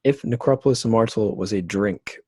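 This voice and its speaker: A man's voice: lowish voice, brisk, dark tone, breathy edge, dry recording, studio quality. A man hosting a podcast in a casual interview chat, rambling and self-deprecating in a hesitant way. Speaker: podcast host.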